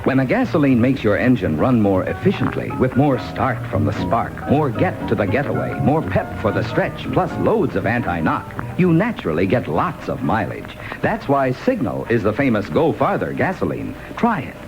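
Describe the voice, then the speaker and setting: A man's voice: medium pitch, huge pitch swings, normal speed, hardly any pauses, rough recording. 60s radio ad man, mid voice swooping all over, music behind. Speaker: commercial announcer. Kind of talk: 1960 scripted radio ad. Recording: vintage 1960 radio recording.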